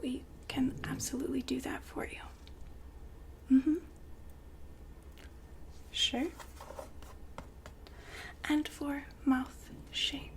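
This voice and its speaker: A woman whispering softly and breathily in an ASMR roleplay, with gentle, polite sales patter. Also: sits high, slight background noise.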